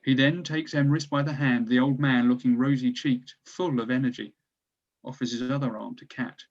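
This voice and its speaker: A man's voice: mid-range voice, fairly even intonation, normal speed. A man narrating scripted stage directions at a table read. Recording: slightly dull, lo-fi recording.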